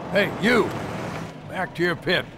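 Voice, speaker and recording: A man voicing a gruff, protective crew-chief car in a cartoon game, stern and scolding. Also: sits high, animated, measured pace, background noise. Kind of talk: animated game voice acting.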